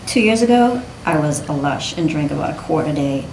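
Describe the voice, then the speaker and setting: A woman's voice: low-pitched, animated, fairly quick. A woman playing a shy, fragile young woman who talks timidly and unsurely. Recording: echoey room with clear noise.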